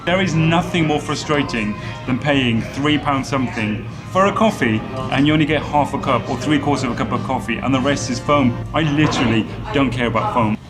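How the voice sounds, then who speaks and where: A man's voice: medium pitch, brisk, few pauses, music in the background. A middle-aged man from Wales with a Welsh accent tinged with Geordie, chatting casually and confidently about his work as a fashion stylist while someone films him on a phone. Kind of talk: casual on-the-street chat. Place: outdoors on a city street. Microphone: phone camera held by the other person. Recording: street noise behind, phone recording.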